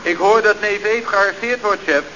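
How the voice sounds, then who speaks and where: Chatty deferential youth leader, male, very high pitch, radio comedy, muffled noisy vintage recording. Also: normal speed, sharp tone. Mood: reporting. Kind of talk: Dutch radio comedy acting.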